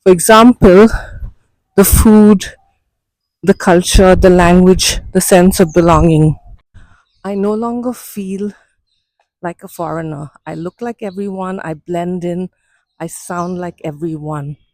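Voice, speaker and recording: Slow-spoken woman with Indian accent. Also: fairly low pitch, a little mellow, no room sound, low-quality recording. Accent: Indian English. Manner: measured, unhurried explaining. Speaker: vlogger and coach. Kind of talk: walk-and-talk vlog. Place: walking outdoors on city streets.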